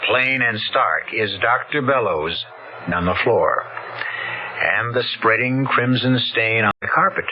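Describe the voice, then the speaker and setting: A man hosting an old-time radio drama, narrating a script in a grave, ominous, deliberate way. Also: medium pitch, slight background noise. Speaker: host and narrator. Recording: muffled old radio broadcast. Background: loud dramatic music.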